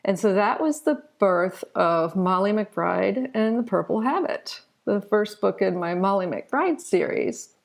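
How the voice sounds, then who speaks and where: A woman's voice: medium pitch, expressive, measured pace, gravelly, hardly any pauses. A middle-aged woman telling personal stories conversationally as an interview guest. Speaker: interview guest, children's author.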